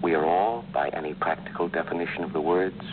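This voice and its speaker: A man's voice: deep voice, fairly even intonation, brisk, natural voice. A man voicing a serenely confident film computer. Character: calm, polite male film computer, unshakably sure of itself. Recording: tinny old film audio with hum.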